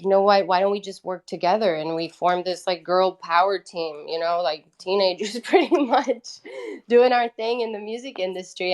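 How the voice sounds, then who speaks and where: A woman in her twenties from Italy, a native Italian speaker, casually telling stories as an interview guest in a chatty, candid way. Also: medium pitch. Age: 20s. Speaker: interview guest, independent musician. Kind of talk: casual personal storytelling.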